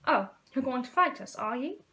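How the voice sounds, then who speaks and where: A woman's voice: high voice, lively intonation, moderate pace, pushed and bright, full character voice. A woman voicing a sneering, condescending schoolboy bully in a storybook reading. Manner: careless, condescending drawl.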